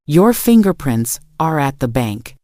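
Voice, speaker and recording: Casting: actress as firm female interrogator for audio drama, dark mellow tone, accusatory, dry sound. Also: low voice, sing-song, measured pace, no echo. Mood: accusing. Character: stern female FBI special agent interrogating a suspect.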